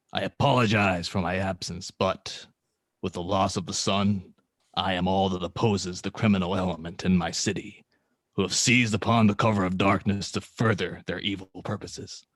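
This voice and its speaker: A grim masked vigilante hero, acted by a man, solemn and restrained. The voice sits very low and barely moves in pitch. It is measured dramatic reading, recorded close and dry. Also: no room sound. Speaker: table-read performer. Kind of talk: dramatic script read-through.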